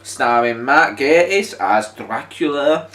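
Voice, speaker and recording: A man's voice: mid-range voice, lively intonation, slow pace, a bit bright. A man hosting an unscripted, casual review chat as the presenter of a video. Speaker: video host. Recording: roomy echo, distant mic, music.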